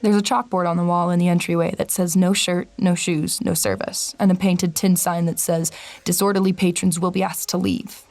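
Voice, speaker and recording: An actress playing a wary, sardonic traveler in an audio drama, speaking in a dry, skeptical way. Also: female voice, on the low side, little pitch movement, normal speed, darkish tone, no echo, natural voice. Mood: wry, observant. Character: Amber, wary sardonic traveler narrating a strange road trip. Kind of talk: audio drama dialogue and first-person narration. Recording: music bed behind voice.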